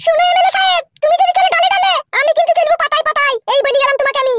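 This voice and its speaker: A scorned village mistress in a comedy cartoon, with an artificially raised, piercingly high voice. She scolds and threatens at a breakneck pace, and the sound is dry and close. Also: rapid-fire, a bit bright, no room sound. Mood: furious threatening. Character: scorned, fiery, sharp-tongued village mistress. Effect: pitched-up voice. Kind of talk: amateur Bengali comedy cartoon dialogue.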